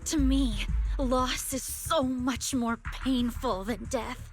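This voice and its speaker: A woman playing a bitter, grieving young woman in a video game, speaking in a measured, wounded, accusatory way. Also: high voice, steady tone, faint music behind. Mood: anguished, resentful. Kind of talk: dramatic video game voice acting.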